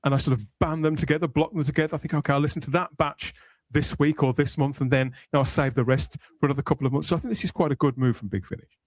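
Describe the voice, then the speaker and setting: A man's voice: fairly high pitch, fairly even intonation, rapid-fire, no room sound. Male podcast host with a dry, close sound. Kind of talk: conversational hosting with scripted, polished narration.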